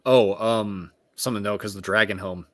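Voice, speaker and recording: A man's voice: fairly low pitch, fairly even intonation, a little fast. A man co-hosting a casual book discussion, adding informed, precise side notes on details. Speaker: podcast co-host.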